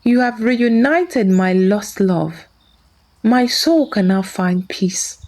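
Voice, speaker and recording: A woman's voice: medium pitch, lively intonation, slow, a little mellow. A woman voicing the solemn, sorrowful spirit of a young woman in a folktale. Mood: grateful relief.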